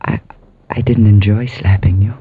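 An actress playing an earnest, proud and spirited niece in an old-time radio drama, with an emphatic, proper way of talking. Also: female voice, deep voice, animated, brisk, noisy background. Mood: softened. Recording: muffled vintage radio broadcast recording.

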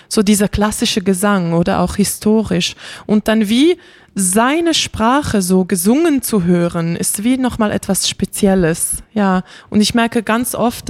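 A woman speaks as an interview guest in a casual, reflective way. Her voice sits at an average pitch for a woman. She sounds enthusiastic and often searches for the right word as she goes. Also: darkish tone. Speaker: interview guest, classical singer.

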